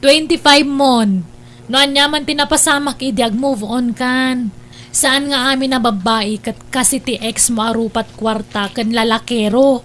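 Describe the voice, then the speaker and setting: A high, Ilocano-accented female voice , an actress in the role of a fretful big sister. She nags and scolds like someone in a family radio drama. There is faint noise on the recording. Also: steady tone, unhurried, fairly bright tone. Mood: scolding. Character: nagging, worried older sister scolding her brother. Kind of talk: Ilocano radio-style audio drama acting.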